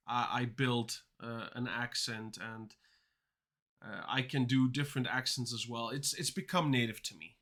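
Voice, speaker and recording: A man's voice: medium pitch, bright, forceful tone. A man whose first languages are Dutch and Turkish, chatting casually on a coding livestream and answering chat questions as he goes. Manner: rambling, answers chat questions mid-talk. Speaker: streamer learning to code.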